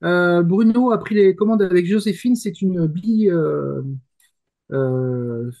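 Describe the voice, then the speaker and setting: A man explaining things as a panelist in casual chat, halting often to search for words. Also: high voice, vocal fry, a little mellow. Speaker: panelist commenting on the industry.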